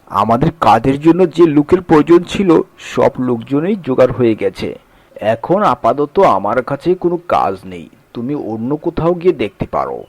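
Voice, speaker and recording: A man's voice: medium pitch, very animated, normal speed, no room sound. A man voicing a brusque, scornful boss who dismisses labourers in a cartoon folk tale. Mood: dismissive. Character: curt, scornful male boss turning away labourers. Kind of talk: Bengali cartoon fairy-tale acting.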